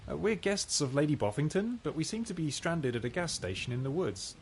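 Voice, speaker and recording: A man's voice: lively intonation, brisk. A man playing a polite pig detective in a video game, speaking in a hesitant, courteous way. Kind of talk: game character acting. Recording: noisy rumbling ambience.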